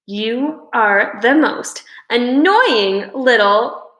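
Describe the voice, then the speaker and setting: A woman reading a children's storybook, voicing a proud, stubborn schoolgirl who talks in a sharp, scornful, bossy way. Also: on the high side, expressive, very slow, full character voice. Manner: sharp, challenging. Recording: roomy indoor echo.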